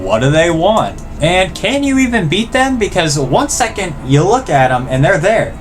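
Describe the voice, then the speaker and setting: A man's voice: sits high, sing-song, moderate pace, soft background music. A man hosting casual reaction commentary, joking and self-deprecating, with loose, sweary phrasing. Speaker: video host.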